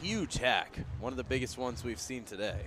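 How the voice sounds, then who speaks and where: A man's voice: medium pitch, animated, normal speed. A man giving conversational, analytical color commentary during a live baseball radio broadcast, explaining the plays as they happen. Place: outdoor ballpark broadcast position.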